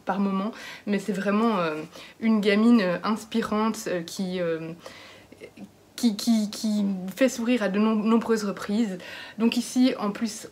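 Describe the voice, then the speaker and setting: A woman vlogger talking casually about books she plans to read, often pausing on fillers and correcting herself. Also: medium pitch. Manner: hesitant, many fillers and self-corrections. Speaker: book vlogger. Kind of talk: casual book talk to camera.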